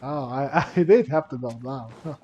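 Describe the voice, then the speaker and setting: A man giving casual let's-play commentary, rambling and halting as he thinks aloud. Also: mid-range voice, darkish tone, slightly rough, muffled recording. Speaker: gaming commentator. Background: video game music.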